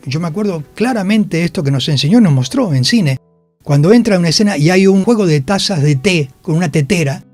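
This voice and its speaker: A man's voice: fairly high pitch, a little mellow, no room sound. An older man with a Rioplatense Spanish accent, telling anecdotes in a radio interview and acting out the quotes as he goes. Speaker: interviewed actor. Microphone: radio studio microphone.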